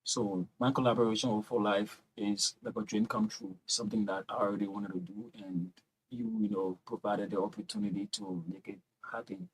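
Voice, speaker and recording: A man's voice: fairly low pitch, steady tone, brisk, a little hiss or hum, low-quality recording. A man answering interview questions as a filmmaker, thoughtful and often saying "you know". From Liberia. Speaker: filmmaker guest.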